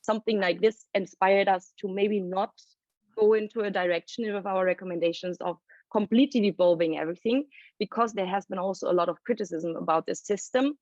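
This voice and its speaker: A woman presenting findings as a report author at a panel launch, explaining at length and thinking aloud with pauses. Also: medium pitch, darkish tone, no echo. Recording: dry, dull lo-fi sound.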